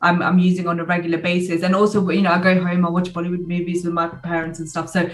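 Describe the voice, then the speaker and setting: A woman's voice: fairly low pitch, level tone, fast talker, recorded from a distance, soft background music. Chatty British woman in her twenties. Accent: British English. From United Kingdom. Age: 20s. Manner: chatty, hesitant with many fillers, laughs often. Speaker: guest. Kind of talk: casual interview chat.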